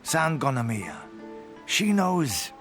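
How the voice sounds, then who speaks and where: A man's voice: medium pitch, sing-song, slow, music in the background. A man voicing a lazy, aloof soldier in a video game, languid and trailing off as if half asleep. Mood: drowsy, content. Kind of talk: video game character dialogue.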